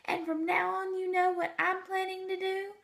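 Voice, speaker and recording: Big storybook kangaroo, read by a woman: eager, protective, exclaiming in a raised pitch. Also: little pitch movement, moderate pace, vocal fry, a bit bright, exaggerated voice. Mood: eager, won over. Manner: exclamatory, emphatic.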